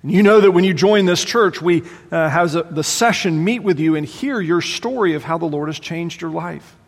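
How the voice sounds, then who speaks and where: A man preaching a sermon as pastor, animated and exclaiming, voicing his listeners' doubts aloud, in a large church sanctuary. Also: fairly high pitch, moderate pace. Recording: long hall reverb.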